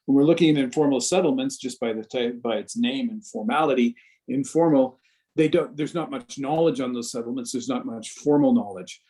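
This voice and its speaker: Man, academic presenter on a video call; calm, fluent explanation. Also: medium pitch, brisk. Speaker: research presenter.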